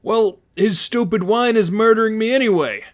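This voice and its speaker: A man's voice: sits high, normal speed, pitched above the performer's own voice, lightly acted. A man voicing a drunk, queasy young male henchman, miserable and whiny, breathing heavily and groaning, in a storybook reading. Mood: exasperated.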